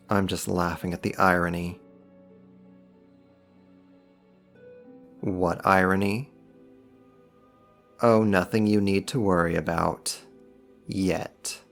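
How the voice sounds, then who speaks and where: Casting: man as powerful demon for audio roleplay, low-pitched, slow delivery, taunting mock courtesy. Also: steady tone, creaky, faint music behind, exaggerated voice. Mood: amused, ominous. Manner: sarcastic, teasing, drawn-out mock politeness. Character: powerful male demon, sly, mocking, condescending. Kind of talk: ASMR roleplay, slow scripted monologue to the listener.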